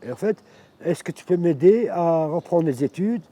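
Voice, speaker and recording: Dark-voiced man in his fifties. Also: on the high side, expressive. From Morocco. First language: Arabic. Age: 50s. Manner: reflective, halting storytelling. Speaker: interviewee. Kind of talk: personal life testimony.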